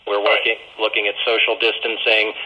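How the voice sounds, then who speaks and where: A man with a husky voice giving an official report at a public meeting in a halting, diplomatic way. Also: on the low side, monotone, muffled recording. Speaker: county administrator.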